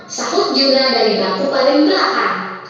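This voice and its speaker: A woman reads a children's story in a high, lively voice that rises and falls with the tale. She sounds far from the mic in a big echoing hall with a long tail, the sound a little dull, with soft music behind her. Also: normal speed. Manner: animated storybook reading, voices the characters lightly. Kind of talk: children's story read aloud.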